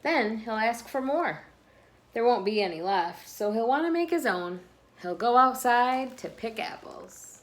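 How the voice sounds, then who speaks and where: A woman reading a children's picture book aloud expressively, pausing between phrases with dramatic emphasis. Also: fairly high pitch, animated. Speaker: children's read-aloud host.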